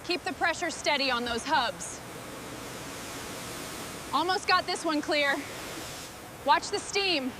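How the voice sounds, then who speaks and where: Woman acting a high-voiced female crew boss, machinery noise. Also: lively intonation, slow, long pauses. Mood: focused, instructing, then urging, careful. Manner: short, instructing phrases. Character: focused female restoration crew boss giving calm orders. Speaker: crew leader in a truck restoration. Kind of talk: acted workshop dialogue.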